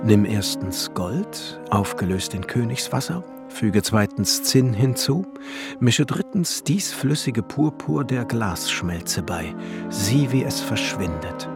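A radio drama performer reciting scripted instructions in a measured, instructive manner. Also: medium pitch.

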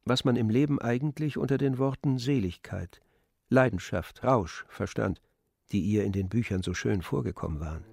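A man narrating a literary radio drama in a measured storytelling manner. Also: mid-range voice, vocal fry, darkish tone, breathy edge, no echo, clean studio sound.

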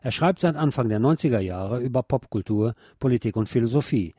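Male narrator for a radio essay, soft-edged warm tone, very dry studio sound, reading from script. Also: medium pitch, animated, brisk, husky, dry recording. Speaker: narrator reading a book chapter.

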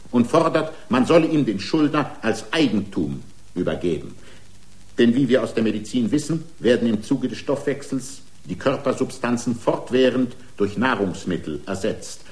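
An actor playing an aggrieved, excitable racing driver in a radio drama, pleading his case in indignant, long-winded, formal rhetoric. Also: mid-range voice, close to an everyday voice. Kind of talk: radio drama acting, courtroom speech.

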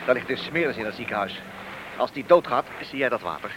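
An actor playing a hard police detective in a radio play, threatening and commanding. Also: male voice, medium pitch, lively intonation, fairly quick, background noise, close to an everyday voice. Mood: menacing. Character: hard, menacing male police detective squeezing an informer.

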